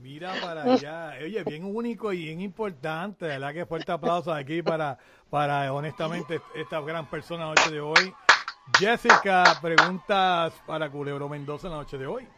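A man hosting a casual interview show, lively, tossing quick asides to his co-host. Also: a little high, a loud crowd.

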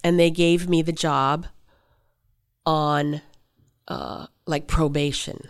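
Picture a woman with a slightly low voice telling backstage stories from her acting career. She talks animatedly and laughs often, answering an interviewer at length. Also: slow, clean tone. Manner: animated anecdotes, frequent laughter. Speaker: actress guest. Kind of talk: interview storytelling.

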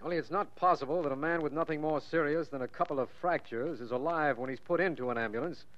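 An actor playing an earnest, firm district attorney in 1940s radio drama style. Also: male voice, fairly high pitch, fast talker, fairly bright tone, natural voice. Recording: dull old radio recording.

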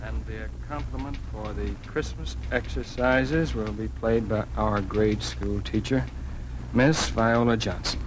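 Man playing a low-voiced, amiable editor. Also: steady tone, a little slow, natural voice. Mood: wry, courting. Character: amiable, wry small-town newspaper editor. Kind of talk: 1940s radio acting. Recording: noisy old 1940s radio recording.

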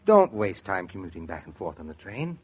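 A man's voice: sits low, big pitch swings, brisk, a little mellow, breathy edge, heavily acted. A man playing a kindly, persuasive family friend and colleague in a 1940s radio drama. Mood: persuasive, reassuring.

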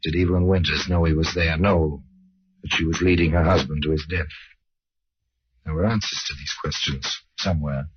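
A man narrating an old radio crime drama as a sardonic storyteller, deliberate and full of rhetorical questions. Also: a bass voice, fairly even intonation, husky, no echo, full character voice. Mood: grave, suspenseful. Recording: dull old radio recording.

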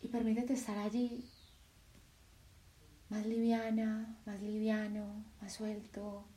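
A woman leading a guided meditation in a soft, soothing voice, with slow sighing breaths between phrases. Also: medium pitch, completely flat delivery, distant mic.

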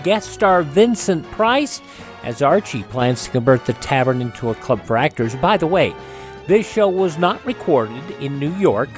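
Animated male radio host over faint music. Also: a little high. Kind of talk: conversational program introduction.

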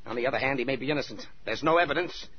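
Actor as dogged, husky police inspector. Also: male voice, medium pitch, very fast, lightly acted. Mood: brooding, insistent. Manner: hesitant, insistent, clipped phrases. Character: dogged, uneasy police inspector following a hunch. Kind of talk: 1940s radio drama acting. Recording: muffled 1940s radio broadcast.

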